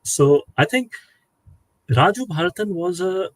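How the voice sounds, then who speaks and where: A man with a slightly husky voice hosts a casual panel discussion, recorded dry and close. He tells anecdotes and draws the guests out with questions. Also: high-pitched, lively intonation, measured pace, dry recording. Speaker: host.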